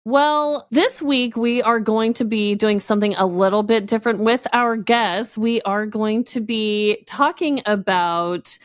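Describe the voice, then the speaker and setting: A woman's voice: on the high side, a little slow, few pauses, dry recording, studio quality, soft background music. A woman hosting a casual podcast chat, playfully dramatic and agreeable with the others. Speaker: podcast host.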